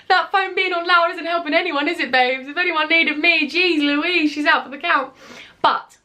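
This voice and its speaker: A woman's voice: very high-pitched, normal speed, pushed and bright. A young British woman telling a personal storytime on her vlog, chatty and confiding with sarcastic asides. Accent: British English. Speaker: YouTuber.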